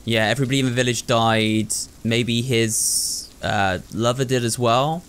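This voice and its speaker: A man's voice: mid-range voice, steady tone, measured pace, fairly bright tone, clear, resonant tone. A man hosting a video game playthrough, thinking aloud and talking to viewers in a running commentary. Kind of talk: excitable gameplay commentary.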